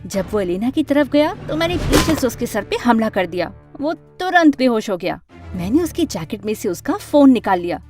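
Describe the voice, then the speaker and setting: Female narrator for an animated story, high voice, dramatic first-person telling, light music bed. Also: moderate pace. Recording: music under the voice.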